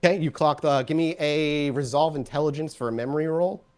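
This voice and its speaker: A man working as game master, improvising animated, conversational narration for a tabletop roleplaying game. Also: on the high side, fairly quick.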